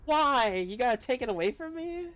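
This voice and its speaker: A man's voice: extremely high pitch, animated, moderate pace, a bit bright, faint music behind. A man giving casual live commentary while streaming a video game.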